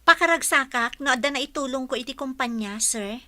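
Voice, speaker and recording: Woman playing a bright, high-voiced young office trainer. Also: a little fast, dry recording. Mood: pleased, modest. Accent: Ilocano. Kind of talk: radio drama acting.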